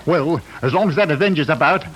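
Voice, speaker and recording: A jovial, humble London husband in old-time radio style. His voice is husky and a little high, moving widely in pitch. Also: lively intonation, quick pace, a bit bright. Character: jovial, deferential working-class London husband and father. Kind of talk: 1940s radio drama acting.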